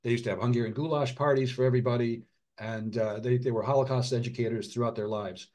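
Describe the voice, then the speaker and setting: Earnest middle-aged man, halting and heartfelt. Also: steady tone. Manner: earnest, halting, frequent restarts and fillers; drops to a quiet, low voice at heavy moments. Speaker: author and physician. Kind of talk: reflective interview conversation.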